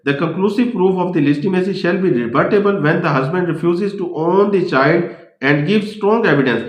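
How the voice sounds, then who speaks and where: Echoey, slow-paced Pakistani man lecturing. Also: fairly high pitch, fairly bright tone. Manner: deliberate reading in English, switching to quicker Urdu explanation. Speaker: law lecturer. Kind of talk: lecture, reading out legal points and explaining them. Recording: echoey room.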